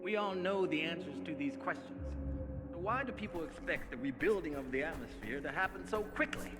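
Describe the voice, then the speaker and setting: A man's voice: fairly high pitch, expressive, a little fast, lightly acted. A male actor playing a grand, idealistic corporate spokesman in a video game, giving a reassuring, oratorical promotional speech with music playing over it. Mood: reassuring, persuasive. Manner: oratorical, rhetorical, inspirational.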